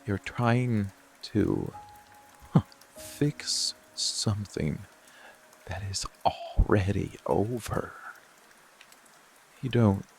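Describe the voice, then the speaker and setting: A dark, seductive male monster played by a man murmurs in a low, heavily creaking voice over rain and music. He speaks extremely slowly, breathy and often whispering, as a possessive, protective lover in an intimate ASMR roleplay. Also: slight background noise, full character voice, poor audio. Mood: soothing. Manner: slow, deliberate, coaxing, with long pauses.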